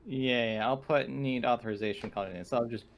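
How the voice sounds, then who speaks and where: A man's voice: medium pitch, fairly quick. A man playing a tabletop roleplaying game, chatting casually and searching for his words.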